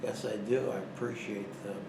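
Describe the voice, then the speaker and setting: An older grandfather speaks slowly and haltingly in a low, flat voice. The recording has an echoey room and noticeable background noise. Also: creaky. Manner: halting, with hesitations. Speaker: grandfather interviewed.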